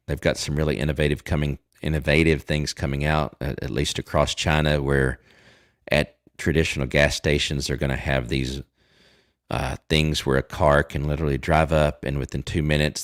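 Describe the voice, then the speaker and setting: A man in his forties speaks with a Texas Southern accent in an extremely low voice. He talks loosely and rambles, filling gaps with ums and starting sentences over. The sound is close and completely dry. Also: no echo. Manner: rambling, many fillers and restarts. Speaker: livestream host. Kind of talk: casual chat.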